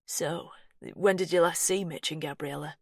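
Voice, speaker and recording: Narrator reading a steady, concerned young man; low pitch, close dry sound. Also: moderate pace, no room sound.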